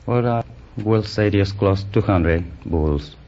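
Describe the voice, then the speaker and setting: Deep-voiced Spanish-accented man. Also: darkish tone. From Spain. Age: 30s. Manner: earnest, halting English. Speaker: quiz contestant. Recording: muffled 1950s radio transcription.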